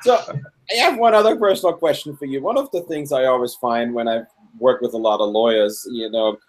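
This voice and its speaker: A man's voice: mid-range voice, fairly quick, low-quality recording. Austrian-born man hosting a live interview. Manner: curious, personal, asks probing questions. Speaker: host. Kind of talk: casual live video interview. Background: fire engine passing.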